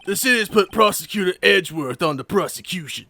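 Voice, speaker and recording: A man voicing a gruff, blustery but sentimental police detective in a video game, with folksy tough-guy talk. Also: high voice, expressive, fairly quick, bright, forceful tone, full character voice. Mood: grave, blustery. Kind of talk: improvised video game character voice.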